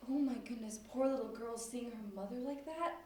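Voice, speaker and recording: A woman's voice: on the high side, a little fast, mellow, close to an everyday voice. A female performer playing a caring, worried best friend, earnest and reassuring, in an amateur stage play. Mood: worried, insistent. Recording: very echoey stage, distant mic, faint noise.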